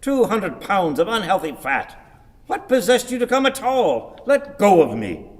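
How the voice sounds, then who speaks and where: An actor playing an irascible, sardonic old blind husband in a radio play, grumbling and declaiming theatrically. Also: male voice, high-pitched, sing-song, unhurried, darkish tone. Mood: exasperated, furious. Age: older. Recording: reverberant hall.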